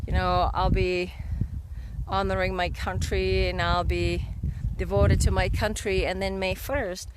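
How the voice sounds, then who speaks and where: A woman's voice: fairly low pitch, little pitch movement, measured pace. Female vlogger, Czech accent, heartfelt and conversational, recorded outside with heavy background noise. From Czech Republic. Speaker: vlog host. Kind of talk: casual, reflective livestream talk. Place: outdoors at sunset. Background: loud outdoor noise.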